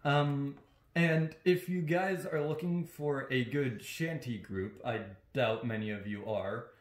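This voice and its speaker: A young man, chatting casually in a halting, deliberate way. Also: mid-range voice. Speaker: co-host answering and asking questions. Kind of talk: casual chat. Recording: roomy, echoing sound.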